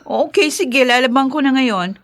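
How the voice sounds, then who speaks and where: A woman's voice: high-pitched, moderate pace. A woman acting in a radio drama as a put-upon member of a household, mild and casual in manner. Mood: compliant, curious.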